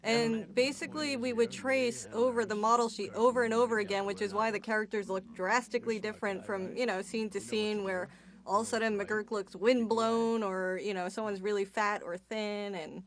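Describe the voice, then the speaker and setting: A woman's voice: mid-range voice, steady tone, moderate pace. A woman working as an animator, chatting casually on a commentary track with wry, joking remarks. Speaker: cartoon animator.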